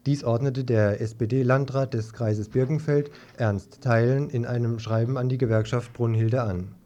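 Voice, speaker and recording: A man's voice: mid-range voice, fairly even intonation, a little slow, mellow, slight background noise. A man presenting a scripted radio news report, reading it aloud. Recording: dull lo-fi 1980s radio tape.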